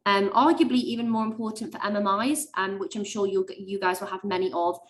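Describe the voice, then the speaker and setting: A young woman, a medical student, giving chatty webinar advice with lots of ums and likes and a high-pitched voice. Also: steady tone, fairly quick. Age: young adult. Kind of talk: webinar talk.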